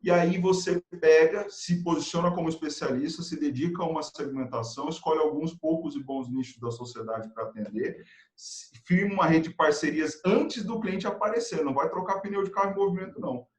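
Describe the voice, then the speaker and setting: A Brazilian man speaking as a guest consultant in a live video chat, energetic and motivational, rattling off quick lists of advice. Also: a little high. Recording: roomy, echoey room sound.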